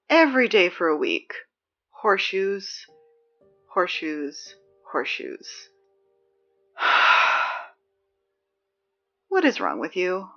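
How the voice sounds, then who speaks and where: A woman's voice: a little high, fairly bright tone, muffled recording, heavily acted. A woman playing a dry, sarcastic teenage girl who reads the school announcements in an audio drama. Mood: exasperated.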